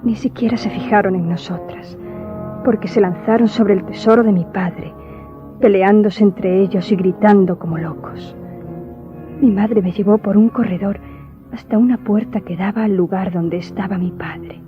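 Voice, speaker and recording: A woman's voice: mid-range voice, steady tone, moderate pace, lo-fi sound, close to an everyday voice. Woman acting a solemn storytelling daughter over music. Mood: solemn, tense. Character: grieving daughter solemnly recounting her tragic childhood. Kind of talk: dramatic scripted narration, radio drama.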